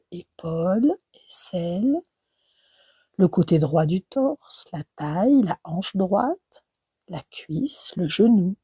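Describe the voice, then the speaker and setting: Breathy, slow-speaking woman guiding relaxation. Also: on the low side, no room sound. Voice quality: breathy, soft, often near a whisper. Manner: soothing, unhurried, instructing with gentle pauses between short phrases. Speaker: yoga nidra relaxation guide. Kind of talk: guided meditation narration.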